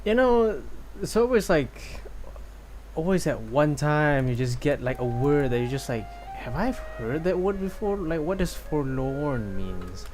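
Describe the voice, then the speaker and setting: A man's voice: on the high side, very animated, unhurried, a little mellow, faint music behind. A man giving rambling, casual live commentary as a game streamer, sometimes reading dialogue aloud.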